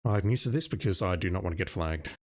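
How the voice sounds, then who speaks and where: Low-voiced man chatting over gameplay. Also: fast. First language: Russian. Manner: relaxed, musing, chatty. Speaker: streamer. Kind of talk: casual gameplay commentary.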